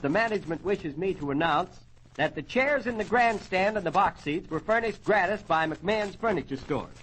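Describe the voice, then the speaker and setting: A male radio host from the 1940s with a fairly high voice and folksy, jokey showman patter. He announces acts and pitches sponsors over a noisy old broadcast recording. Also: a bit bright.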